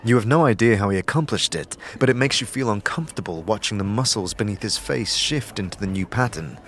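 A man narrating a fantasy audio drama from a script in a measured, suspenseful storytelling manner. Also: lowish voice, lively intonation, fairly bright tone, slight background noise. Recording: music under the voice.

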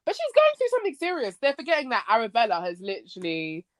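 A woman hosting a casual podcast chat about a TV series, expressive and slangy. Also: fairly high pitch, fast, no echo, studio quality. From Nigerian background. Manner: expressive, slangy, acts out quoted lines. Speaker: podcast host.

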